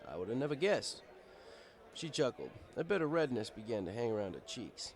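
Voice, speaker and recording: A man's voice: medium pitch, sing-song, fairly quick. A man reading a first-person story as scripted narration, in a wry, conversational manner. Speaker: story reader.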